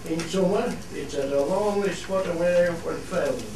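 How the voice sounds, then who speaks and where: Man acting, plain-spoken shepherd, Cumbrian accent, high voice, explanatory, distant echoey stage sound. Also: moderate pace, lightly acted. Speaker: narrator and shepherd in a radio play. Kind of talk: scripted radio play monologue in dialect. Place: theatre studio. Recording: echoey hall, distant mic, clear noise.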